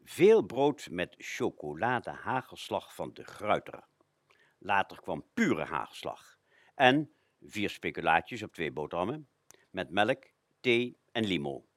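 A man's voice: low-pitched, sing-song, takes it slowly, dry recording, clean studio sound, heavily acted. An actor playing a nostalgic older man recalling a frugal childhood, musing expressively and colloquially in a radio play. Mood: wistful, animated.

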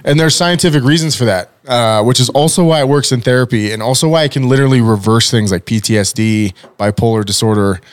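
A man in his forties hosting a casual podcast, talking loudly and energetically, joking and using fillers. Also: mid-range voice, quick pace, hardly any pauses, no echo, clean studio sound. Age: 40s. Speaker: podcast host. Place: podcast studio. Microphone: studio mic with headphones.

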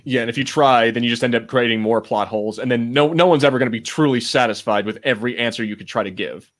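Male co-host, medium pitch, loose rambling chat, slangy and a bit sweary. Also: quick pace. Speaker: podcast co-host. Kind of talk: casual pop-culture chat.

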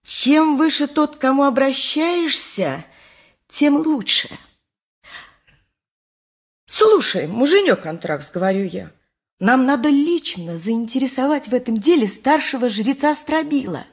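An actress in a radio play playing the long-suffering, shrewd wife of a poor donkey driver, with a husky voice and a plaintive, wry, emphatic manner. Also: female voice, high-pitched, expressive, unhurried, heavily acted. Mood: shrewd, determined. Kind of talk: radio play acting, 1980s, dramatic monologue.

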